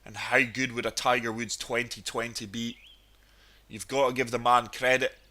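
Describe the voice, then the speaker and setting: A man's voice: mid-range voice, flat delivery, moderate pace, pushed and bright. A man with a cold, chatting enthusiastically through golf gameplay commentary. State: has a cold, sniffly. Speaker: gaming channel host.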